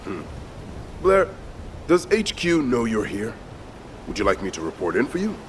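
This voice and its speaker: A man's voice: medium pitch, big pitch swings, moderate pace, a little hiss or hum, natural voice. A man voicing a persuasive, teasing comrade in a video game, with a coaxing, knowing delivery. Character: persuasive, teasing male comrade giving missions.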